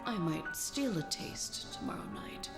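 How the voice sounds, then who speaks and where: Loud music runs over a deep-voiced ancient female vampire, acted by a woman in an audio roleplay. She speaks very slowly, with doting, teasing menace. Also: expressive. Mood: silky menace. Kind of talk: ASMR audio roleplay acting.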